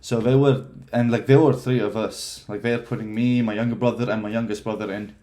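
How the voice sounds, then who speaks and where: Low-voiced young man telling his story. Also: steady tone, brisk, dark tone. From Palestine. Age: 20s. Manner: hesitant, many fillers and restarts. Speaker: vlogger. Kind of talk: casual personal storytelling.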